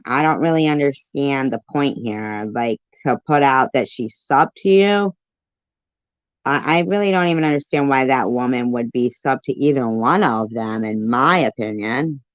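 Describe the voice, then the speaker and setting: A woman hosting a casual live stream, commenting and reacting in a deliberate, repetitive way. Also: rumbling low, dry recording. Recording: dull lo-fi live stream audio.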